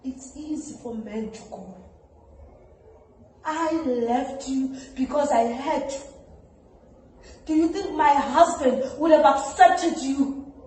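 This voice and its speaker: A woman's voice: sits high, takes it slowly, darkish tone. An actress playing an older South African mother, weary and defensive, delivering an emotive stage monologue. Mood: bitter, defensive. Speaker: trainee actor. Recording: very echoey room, distant mic, background noise.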